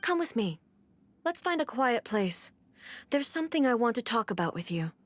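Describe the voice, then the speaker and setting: A woman's voice: fairly high pitch, sing-song, normal speed. A woman voicing a composed, regal young female ruler in a video game, speaking formally and with command. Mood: stern. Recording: game audio with soft music.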